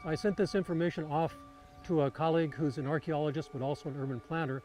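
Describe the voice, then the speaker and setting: A man speaks as an archaeologist in a documentary interview clip. His voice is fairly high for a man, and he explains things in a measured, careful way. Faint music plays underneath. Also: steady tone, dark tone.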